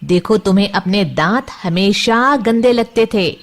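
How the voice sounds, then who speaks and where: A woman voicing a caring mother elephant in a children's story, gentle, reassuring and motherly. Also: medium pitch, measured pace, voice pushed down, lightly acted. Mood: encouraging, proud.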